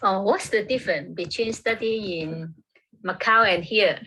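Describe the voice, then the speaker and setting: A woman's voice: medium pitch, measured pace, sharp tone, a little hiss or hum, low-quality recording. A young woman student interviewing a friend for a class recording. She asks questions politely in simple learner's English.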